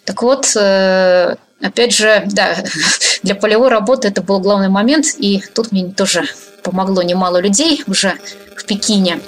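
A woman answers an interviewer at length in a steady, middle-pitched voice. She thinks aloud as she speaks, with frequent fillers and self-corrections. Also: moderate pace. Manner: thoughtful, many fillers and restarts. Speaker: researcher, interview guest.

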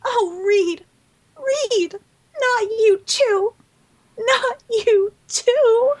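Woman as very high-pitched, melodramatic superheroine. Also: very slow, a little mellow, no echo, exaggerated voice. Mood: dismayed. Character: dramatic young female superhero, soft-hearted and loyal. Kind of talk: hobby comic-book radio play acting.

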